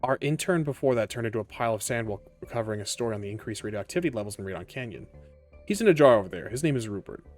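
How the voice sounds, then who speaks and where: A man voicing a brisk community radio broadcaster briefing new interns in a tabletop roleplaying game. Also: fairly low pitch, expressive, a little mellow, soft background music, lightly acted. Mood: brisk, matter-of-fact. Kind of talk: scripted in-game narration.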